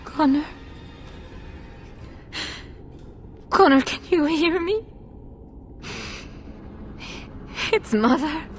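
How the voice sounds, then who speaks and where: Woman acting a high-voiced, desperate, pleading mother. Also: a little hiss or hum, natural voice. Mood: anxious tenderness. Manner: pleading, emotional, halting. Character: desperate, grieving noble mother pleading for her son. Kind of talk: dramatic video game voice acting.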